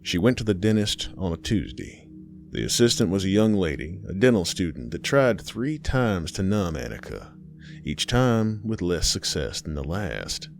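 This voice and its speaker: A man reading a scripted horror story in a dramatic, measured way, pausing between phrases. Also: low voice, sing-song, gravelly, a little hiss or hum.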